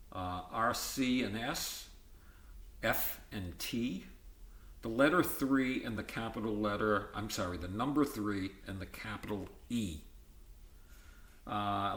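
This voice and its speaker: A man with a fairly low voice reads educational material aloud at a very slow pace. He moves haltingly from item to item and adds informal comments of his own. The sound is roomy and echoey, like an amateur recording made at home. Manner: halting list-like delivery with ad-libbed comments. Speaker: reader of an educational book. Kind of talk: reading a textbook aloud with informal asides.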